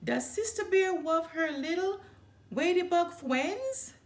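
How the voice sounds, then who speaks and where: A woman's voice: very high voice, lively intonation, slow, pitched above the performer's own voice, full character voice. A woman voicing a nasty young male grizzly bully who mocks in silly, singsong baby talk for a children's storybook. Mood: mocking.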